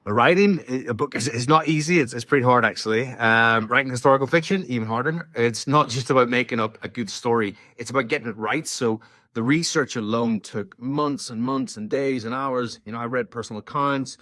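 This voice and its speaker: A man's voice: mid-range voice, a bit bright, no room sound, clean studio sound. A man in his forties with a Northern Irish accent, an author talking earnestly about his work, with frequent ums and restarts. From Northern Ireland. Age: 40s. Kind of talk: direct-to-camera talk.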